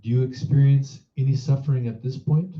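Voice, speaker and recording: A man leading a guided meditation as a teacher, in a measured, instructive manner, posing reflective questions. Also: medium pitch, fairly even intonation, mellow. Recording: roomy echo, dull muffled sound.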